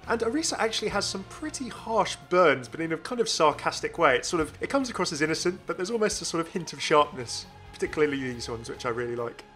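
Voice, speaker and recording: A man's voice: on the high side, sing-song, quick pace, few pauses, bright, forceful tone, faint music behind. A man hosting a gaming channel, giving chatty, enthusiastic, informal commentary on a video game.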